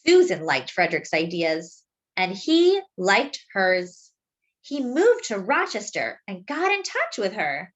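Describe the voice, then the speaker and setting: A woman reads a picture book aloud expressively, explaining things simply and deliberately as if to young children. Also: mid-range voice, wildly swooping pitch. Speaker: children's storybook reader.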